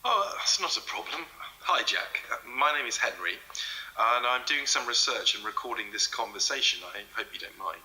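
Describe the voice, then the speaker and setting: A polite male investigator conducting an interview in an audio drama. His voice is mid-pitched, very bright and animated, with a hesitant, probing manner. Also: moderate pace, poor audio. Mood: awkward, polite. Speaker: interviewer researching a legend.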